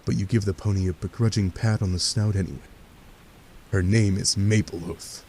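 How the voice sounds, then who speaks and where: A man with a fairly low voice reads scripted story narration in the second person. His delivery is wry, with faint rain-like noise behind him. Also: fairly even intonation, moderate pace, warm tone. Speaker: narrator of a fan dub.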